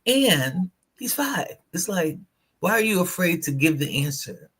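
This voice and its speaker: A woman co-hosting a spiritual live show, chatting casually and telling stories in a lively, animated way. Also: on the low side, unhurried, slightly rough. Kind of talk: casual live-stream chat.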